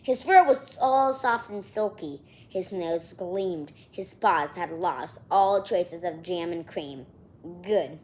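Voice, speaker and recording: A woman's voice: lowish voice, slow pace, darkish tone. A woman reading a children's story aloud expressively, with casual, chatty asides to her listeners. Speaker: storybook reader.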